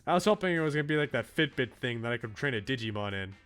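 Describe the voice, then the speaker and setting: A man streaming a game, reading a visual novel aloud with casual, animated, joking commentary. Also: mid-range voice, rapid-fire, smooth, faint music behind.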